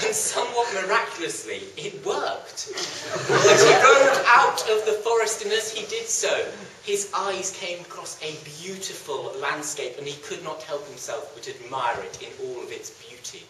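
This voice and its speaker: A storyteller giving theatrical scripted narration, with dramatic, drawn-out emphasis. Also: medium pitch. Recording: echoey room, distant mic, faint noise.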